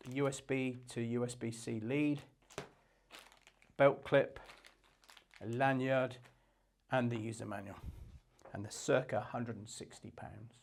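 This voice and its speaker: A man giving an unscripted product demonstration in a halting, matter-of-fact way, going through features piece by piece. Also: medium pitch, vocal fry, a little hiss or hum. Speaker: shop product presenter.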